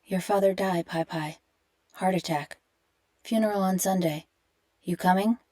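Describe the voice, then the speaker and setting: A woman voicing a curt speaker breaking bad news in clipped, slow phrases. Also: lowish voice, mellow, close to an everyday voice. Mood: terse.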